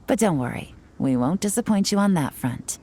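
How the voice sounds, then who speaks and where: A woman playing a cool, shrewd young intelligence agent in a video game, speaking in a measured, knowing, composed way. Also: fairly low pitch, expressive, warm tone. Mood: amused, wry. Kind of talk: video game voice acting, story exposition.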